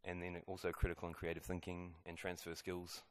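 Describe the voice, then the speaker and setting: Deep-voiced guy walking parents through the maths curriculum, pretty flat delivery. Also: brisk, clear, resonant tone. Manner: steady, explanatory, with fillers. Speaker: head of maths faculty presenting to parents. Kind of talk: school information presentation. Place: school presentation room.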